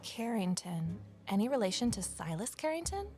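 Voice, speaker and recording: An actress playing a wary amateur sleuth and former journalist in a naturalistic audio drama, speaking in a cautious, matter-of-fact way. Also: female voice, mid-range voice, sing-song, moderate pace, a little mellow, soft background music, lightly acted. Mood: curious, conspiratorial.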